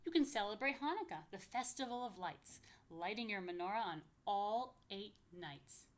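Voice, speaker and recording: A woman's voice: mid-range voice, expressive, normal speed. An American woman reading a picture book aloud to young children, with chatty asides and playful questions to her listeners. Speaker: rabbi, story time host for young children.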